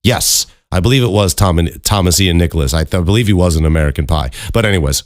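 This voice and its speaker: Low-voiced man chatting on a podcast. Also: fast, no echo, studio quality. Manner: informal, rambling, swears casually. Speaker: podcast host. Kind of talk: casual solo podcast chat.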